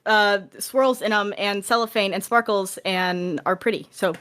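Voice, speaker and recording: A woman's voice: medium pitch, fairly even intonation, measured pace, a bit bright, clear, resonant tone. A woman guesting on a podcast, trading playful, casual banter.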